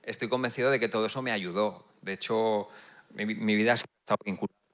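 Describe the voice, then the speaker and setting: A man's voice: lowish voice, fairly even intonation, fast talker, a bit bright. A man giving a reflective, conversational testimony in church.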